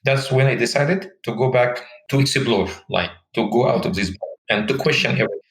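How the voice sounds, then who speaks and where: A man's voice: mid-range voice, fairly quick, echoey room, rough recording. A man in his forties from Egypt, speaking English with an Egyptian Arabic accent as his second language, telling his story earnestly and reflectively in an interview. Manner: earnest, reflective, with frequent restarts and non-native grammar. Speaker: guest, tour company owner and Egyptologist.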